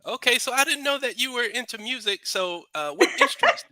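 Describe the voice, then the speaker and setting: A man with a very bright, forceful voice hosts a casual podcast interview. His pitch moves in lively swings, and he sometimes halts and restarts a question. The recording is close and dry. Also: fairly quick, no room sound, low-quality recording. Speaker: podcast host.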